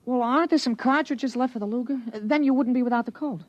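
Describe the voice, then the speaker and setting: A man's voice: very high-pitched, fast talker, no echo, lo-fi sound. A male performer playing a clever, self-assured thirteen-year-old boy in a 1950s radio drama, earnest and articulate. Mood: urgent.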